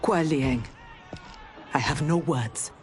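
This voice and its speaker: Earnest, slow-spoken female ninja warrior. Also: deep voice, darkish tone, soft background music. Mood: remorseful. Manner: earnest, formal. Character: proud, honorable young female ninja warrior, disillusioned. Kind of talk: dramatic video game cutscene acting. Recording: cinematic score under the voice.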